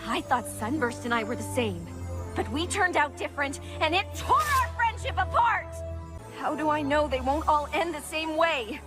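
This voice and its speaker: A woman's voice: sits high, big pitch swings, normal speed. A woman voicing a zealous, theatrical female pony cult leader in a cartoon style. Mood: wounded, bitter. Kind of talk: cartoon character audition acting. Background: music.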